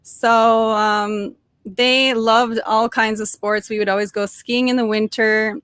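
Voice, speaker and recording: Chatty woman, bright high voice. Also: flat delivery, slow, clean tone, dry recording, studio quality. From born in Norway, raised in suburban Detroit, Michigan. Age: young adult. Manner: chatty, cheerful, with frequent fillers and restarts. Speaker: podcast guest. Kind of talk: casual interview storytelling.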